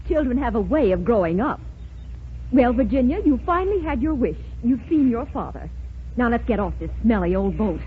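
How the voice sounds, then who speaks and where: On a hissy, narrow old radio recording, a protective middle-aged aunt in a highish, animated voice. She is stern, proper and tart, in 1940s radio drama style. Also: normal speed. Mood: tart, disapproving. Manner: crisp-worded, proper, tart.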